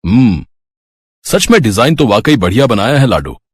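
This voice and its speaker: A man's voice: medium pitch, sing-song, normal speed, slightly rough, dry recording. A man voicing a good-humored middle-aged father who teases his wife in an easygoing way. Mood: teasing. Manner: teasing, easygoing.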